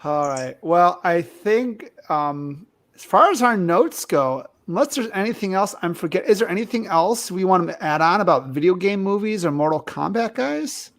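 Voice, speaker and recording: A man with a fairly high voice for a male speaker hosts a podcast. He talks in a casual, conversational style. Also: lively intonation, normal speed, few pauses, fairly bright tone. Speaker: podcast host. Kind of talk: casual chat.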